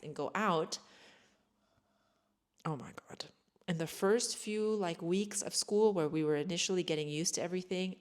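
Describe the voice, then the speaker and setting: Low-voiced chatty American woman. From United States. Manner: chatty, rambling, self-deprecating. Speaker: vlogger. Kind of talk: casual chat to camera. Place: sparsely furnished home.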